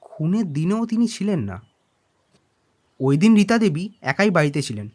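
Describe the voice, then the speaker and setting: A male actor plays an eager young secretary in an audio detective drama. His voice is high and very animated, and he reports in a brisk, deferential way. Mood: earnest reporting.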